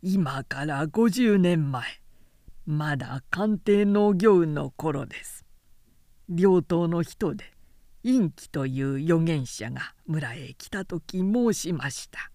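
Woman as a humble, unhurried ancient villager man. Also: low-pitched, animated, dark tone, no echo, studio quality, heavily acted. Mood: humble reverence. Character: humble, respectful centenarian male villager telling old tales. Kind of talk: audiobook character reading.

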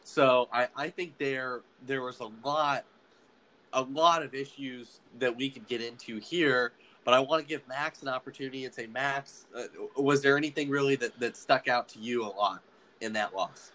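A man's voice: mid-range voice, sharp tone, rough recording. Young male student podcast host, loose hesitant sports talk, ordinary mid-range voice. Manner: halting, many fillers and restarts. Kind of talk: casual sports podcast chat.

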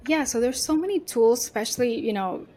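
A woman's voice: fairly high pitch, expressive, a little fast, mellow, faint music behind. A woman with a Venezuelan Spanish accent, an agency head answering interview questions casually and confidently, often saying "you know" and "like". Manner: chatty, leans on "you know" and "like". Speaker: agency CEO, guest.

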